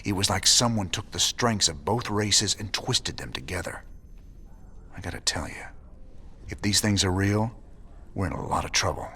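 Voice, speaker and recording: A man's voice: low-pitched, normal speed, sharp tone, a little hiss or hum. A man voicing a weary, plainspoken space marshal with laid-back, drawling talk. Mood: worried.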